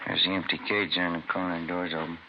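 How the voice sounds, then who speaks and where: Laconic detective sergeant, vintage crime drama: low, questioning, terse, on a muffled noisy recording. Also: male voice, level tone, fast talker. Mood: inquisitive. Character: observant, laconic male police detective sergeant. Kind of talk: 1950s radio crime drama acting.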